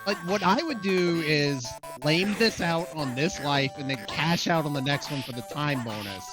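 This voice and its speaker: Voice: medium pitch, normal speed, low-quality recording. A co-commentator talking casually and conversationally about live competitive gaming. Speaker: esports co-commentator. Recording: game music behind the voice.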